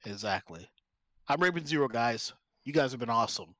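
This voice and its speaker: A man's voice: medium pitch, animated, fairly quick, sharp tone. A man co-hosting a casual comedy podcast, playful, laughing easily and sliding into mock rants. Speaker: podcast co-host.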